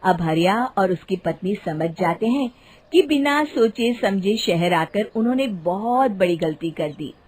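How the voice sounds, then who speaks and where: A woman narrating an animated moral story as a scripted storyteller. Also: medium pitch.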